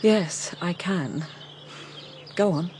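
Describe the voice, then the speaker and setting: An actress playing a proud, wounded young heiress in a British radio drama, well-spoken and controlled, with an English accent. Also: female voice, fairly low pitch, drawn-out words, background noise. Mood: hurt, controlled. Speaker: actor.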